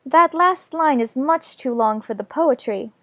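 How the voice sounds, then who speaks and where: A man playing a gentle young girl from a storybook, very high falsetto, polite, dry close sound. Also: lively intonation, measured pace, no room sound, heavily acted. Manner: gentle, polite, a bit anxious. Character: polite, earnest seven-year-old girl.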